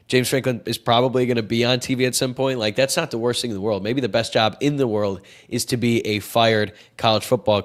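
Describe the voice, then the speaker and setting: A young man with a stuffy, cold-blocked nose talks in an easy, chatty way as a sports podcast host. He answers viewers' questions with firm opinions and quick side remarks. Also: medium pitch.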